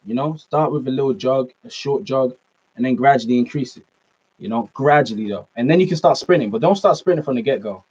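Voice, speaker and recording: A young man with a British accent talks casually to viewers on a live stream, relaxed and chatty, often tossing in man and bro. His voice sits at a middle pitch and comes through a laptop mic with a slightly dull, lo-fi sound. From Norway, raised in the UK. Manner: laid-back, chatty, answers viewer comments, says man and bro a lot. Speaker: fitness streamer.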